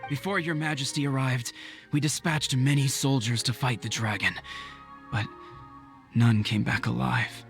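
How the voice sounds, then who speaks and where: An actor playing a loyal, dutiful royal guard officer in a video game, speaking formally and earnestly. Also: male voice, mid-range voice, fairly even intonation, measured pace, creaky, a bit bright. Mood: grave, resigned. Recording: music under the voice.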